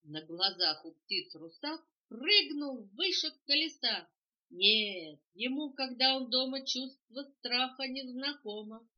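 A woman's voice: high voice, lively intonation, slow pace, highs cut off. An older woman reading a children's verse tale aloud with theatrical, emphatic phrasing, on a home cassette recording. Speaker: storyteller reading to a grandchild.

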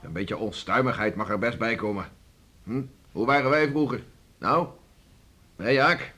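An actor playing a hearty, gruff farmer and beachcomber father in a Dutch radio drama, talking in a bluff, teasing way. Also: mid-range voice, a little slow, pushed and bright. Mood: bluff, easygoing. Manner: bluff, teasing, chuckling, colloquial.

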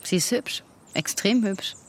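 Husky, persistent investigator. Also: female voice, medium pitch, lively intonation, unhurried. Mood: probing. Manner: questioning, matter-of-fact. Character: probing, persistent woman investigating a death. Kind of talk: radio drama acting.

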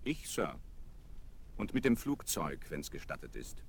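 An actor playing a self-assured, wry young aviator in a German children's radio play, breezy and dryly ironic. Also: male voice, a bass voice, big pitch swings, a little slow, creaky, heavily acted. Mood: wry, unflappable. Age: young adult. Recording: noisy vinyl radio-play recording.

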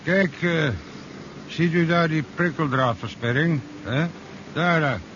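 An actor playing a chatty, mocking, casual taxi driver in a seventies radio drama. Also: male voice, a little high, takes it slowly. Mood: wry. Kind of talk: 1970s Dutch radio drama acting. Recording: dull, noisy old radio recording.

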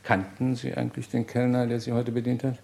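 A man's voice: mid-range voice, completely flat delivery, a little fast, creaky, darkish tone, close to an everyday voice. A man playing a courteous but insistent police inspector in a German radio crime drama, questioning in a clipped, authoritative way. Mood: politely insistent.

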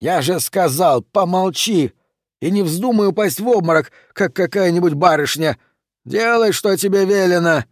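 A man's voice: fairly high pitch, lively intonation, measured pace, a little mellow, no room sound, studio quality, voice pushed up. A man reading an audiobook and voicing a stern, dying old man who gives curt orders and prays through his pain. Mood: stern commanding.

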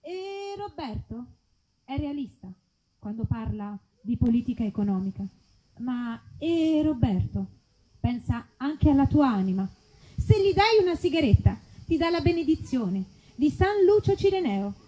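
A woman's voice: sits high, very animated, unhurried, warm tone, rough recording. A woman performing as announcer of a parody advertisement in a live comedy reading, with rhetorical, slogan-like delivery.

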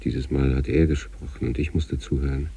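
A man acting an obsessive sanatorium patient in a literary radio monologue, reading out letters to his wife with measured, introspective intensity and a husky, gravelly voice. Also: little pitch movement, recorded from a distance, heavily acted. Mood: quiet, impatient. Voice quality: husky, gravelly, dark low voice.

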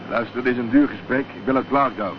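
An actor playing a laconic, wary private detective in a radio drama, speaking in a terse, matter-of-fact way. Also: male voice, mid-range voice, fast talker, natural voice. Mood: businesslike. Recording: dull, faintly noisy old radio play recording.

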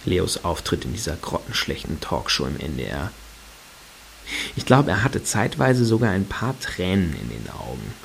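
A man's voice: deep voice, very animated, moderate pace, vocal fry, full character voice. An actor playing a wordy, wry and hesitant male fan in a German radio play. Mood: wry, musing. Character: hesitant, sardonic male fan of a washed-up satirist. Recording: hissy background noise.

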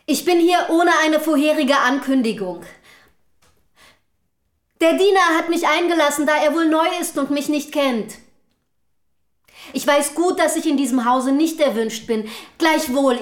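A woman's voice: high-pitched, a little slow. An actress in a German radio play playing a forward, inquisitive young woman of the Romantic era, with formal, polite, probing diction. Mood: formally polite.

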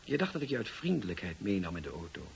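An actor playing a brusque, teasing, moody gentleman in a Dutch radio drama, speaking in a dry, commanding way. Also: low voice, very animated, fast, vocal fry, slight background noise, heavily acted. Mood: brusque, insistent. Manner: dry, commanding, clipped questioning.

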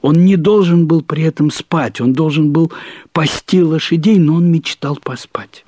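Husky-voiced man narrating a story. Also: on the high side, warm tone, no room sound, clean studio sound. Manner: measured, deliberate, with pauses and emphatic repetition of phrases. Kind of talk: expressive literary narration of a short story.

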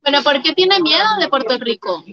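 A woman hosting a casual radio interview, chiming in with lively, friendly remarks. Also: sits high, fairly quick, crowd noise. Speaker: radio host. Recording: dull dry lo-fi sound.

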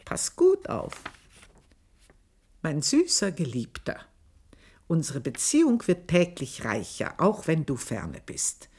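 An actress in a radio play, playing a passionate, bitter, cunning young woman who confesses deliberately and intensely, at times hushed. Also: sits low, huge pitch swings, husky, exaggerated voice. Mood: bitter, insistent. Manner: deliberate, intense, dramatic phrasing with hushed, near-whispered passages. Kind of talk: literary radio play monologue.

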